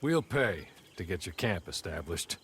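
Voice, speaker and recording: A proper, wry servant. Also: male voice, deep voice, sing-song, unhurried, a bit bright, a little hiss or hum, heavily acted. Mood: dry. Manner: formal, deferential and wry. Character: polite male servant, dry and proper.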